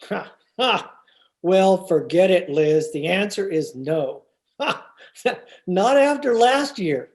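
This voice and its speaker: A woman's voice: lowish voice, expressive, slow, a little mellow. A woman playing a teasing, stubborn husband in old-time radio comedy, with a theatrical, wry and exasperated delivery. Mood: firm, wry. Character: George, teasing, stubborn husband of a 1940s housewife. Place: remote video-call production.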